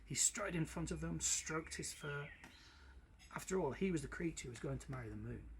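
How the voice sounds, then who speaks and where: A man reading a children's story aloud in an expressive storytelling style. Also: fairly high pitch, fairly quick. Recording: clearly noisy background.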